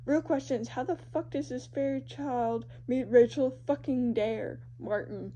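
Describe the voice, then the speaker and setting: A woman reading fan fiction aloud in an informal, expressive way, stumbling over the odd word. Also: high-pitched, a little slow. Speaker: amateur podfic reader. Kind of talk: expressive fan fiction reading of social media posts and narration. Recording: noisy amateur home recording.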